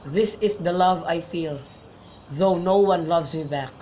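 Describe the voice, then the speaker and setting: Give this one to a melancholy young lover played by a man with a fairly high voice. He broods and pines in amateur radio-play style. The recording has faint noise and chirping birds behind it. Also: measured pace, lightly acted. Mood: agitated, anguished. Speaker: student actor. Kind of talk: amateur radio drama acting, modern-English Shakespeare.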